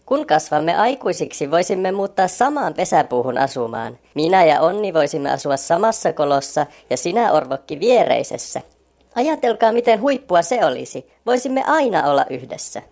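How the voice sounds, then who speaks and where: Woman voicing a low, lively young flying squirrel boy. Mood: eager. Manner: earnest, chatty, easily puzzled. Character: young male flying squirrel, eager and friendly. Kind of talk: children's storybook reading.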